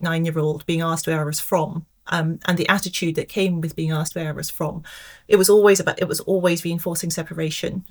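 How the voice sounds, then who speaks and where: A woman in her forties with an English accent and a slightly low voice, speaking as a guest on a professional podcast. She answers carefully and reflectively, pausing often with soft hesitations as she works through her thoughts. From Scotland. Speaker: pensions actuary, podcast guest.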